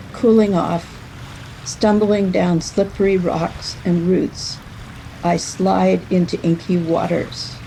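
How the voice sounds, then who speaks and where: An older woman reads literary prose slowly and deliberately. Her voice is fairly low and mellow, with a clear creak in it. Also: slight background noise, rough recording. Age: over 60. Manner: measured, deliberate phrasing. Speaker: writer reading own work. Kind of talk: literary reading of a prose memoir.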